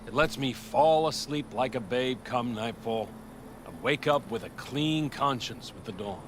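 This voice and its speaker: An actor playing an older nomad clan leader in a video game, blunt and proud, with a folksy, speechifying manner. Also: male voice, medium pitch, a little slow, slight background noise, close to an everyday voice. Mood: solemn conviction.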